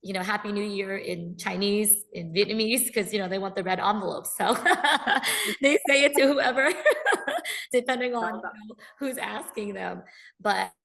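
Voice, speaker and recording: A woman's voice: medium pitch, animated, a little slow, gravelly, a bit bright. A woman, chatting as a podcast guest. She is upbeat, laughs often and fills gaps with ums. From United States. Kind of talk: casual interview chat.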